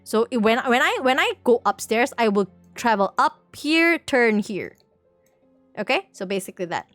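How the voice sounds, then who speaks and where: A woman's voice: on the high side, big pitch swings, normal speed, a bit bright, dry recording, soft background music. A woman livestreamer explaining things casually to viewers in a rambling, playful way, repeating words and correcting herself as she goes. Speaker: livestream host.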